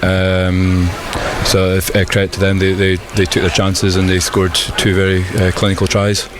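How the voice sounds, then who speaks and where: A man speaking as a team captain in a post-match interview, hesitant and measured as he thinks aloud. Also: low voice, completely flat delivery. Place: outdoors at a rugby ground. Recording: outdoor noise with traffic behind.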